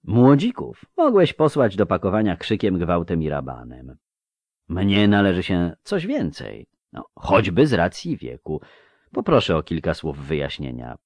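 Casting: male narrator as elderly, civilised vampire, unhurried and courteous, lowish pitch, storybook reading. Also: wildly swooping pitch, a little mellow, no echo, exaggerated voice. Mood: calm, wry. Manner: measured, polite, unhurried. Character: calm, urbane old male vampire.

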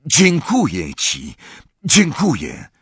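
A man's voice: fairly high pitch, wildly swooping pitch, extremely slow, a bit bright, breathy edge, no room sound, studio quality, voice pushed up, heavily acted. A man voicing an earnest, urgent teenage boy hero in an audiobook. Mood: grateful. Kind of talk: audiobook character voice.

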